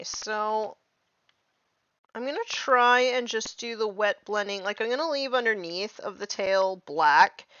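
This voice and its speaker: A woman's voice: medium pitch, a bit bright, clear, resonant tone, no room sound. Chatty young woman streamer, dry muffled sound. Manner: chatty, lots of "like", self-deprecating. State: recovering from lost voice. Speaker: streamer painting miniatures. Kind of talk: casual chat while painting.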